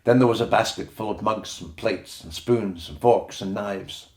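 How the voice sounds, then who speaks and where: A man's voice: lowish voice, normal speed. A man reading a children's story aloud as an audiobook narrator, in scripted storybook style. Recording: roomy echoing sound.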